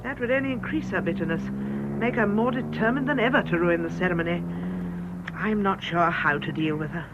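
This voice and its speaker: A woman's voice: fairly low pitch, lightly acted. An actress playing a composed, resolute clergyman's wife in a British radio drama, speaking politely but firmly. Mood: earnest. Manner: measured, polite but firm. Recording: muffled radio drama recording, loud noise.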